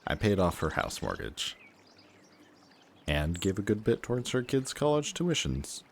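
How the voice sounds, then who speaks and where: A man's voice: fairly low pitch, very animated, measured pace, vocal fry, a little hiss or hum, full character voice. A man playing a reformed antihero and affectionate best friend in an intimate audio roleplay, speaking to the listener in a hesitant, confiding, conversational way. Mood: confiding, wry.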